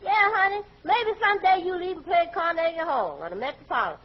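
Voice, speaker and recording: A woman's voice: very high voice, big pitch swings, fast talker. A woman playing a sassy, devoted household maid in a 1940s radio comedy. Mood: wry. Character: sassy household maid, fond of her mistress. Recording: dull, faintly noisy old radio recording.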